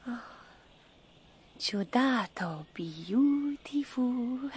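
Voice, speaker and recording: An actress playing a tiny, affectionate, childlike woman of the future in a radio drama, speaking in simple exclamations and halting words. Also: fairly high pitch, expressive, a little mellow, breathy edge, heavily acted. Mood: delighted, shy.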